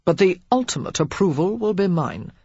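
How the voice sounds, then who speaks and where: A woman reading the lines of a stern, dry young aristocrat who speaks gruffly. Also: lowish voice, lively intonation, moderate pace, mellow, no echo, dull audio.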